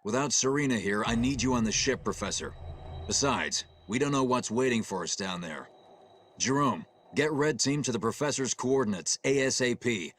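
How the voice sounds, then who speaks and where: An actor playing a calm middle-aged starship captain, giving measured, clipped orders in a military game cutscene. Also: male voice, mid-range voice, a little hiss or hum, lightly acted. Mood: firm. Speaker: ship captain.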